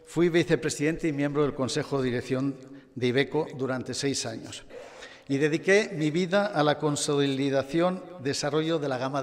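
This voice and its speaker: An older man from Catalonia giving a formal award acceptance speech in a measured manner with touches of humor. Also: fairly high pitch. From Catalonia, Spain. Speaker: award recipient. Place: large gala hall. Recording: long reverb of a hall.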